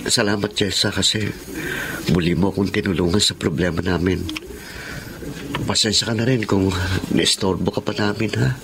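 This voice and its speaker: An actor with a Filipino accent playing a weary, grateful, apologetic husband in a radio romance drama, hesitant and sheepish. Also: male voice, low voice, steady tone, moderate pace, vocal fry, long pauses, background noise.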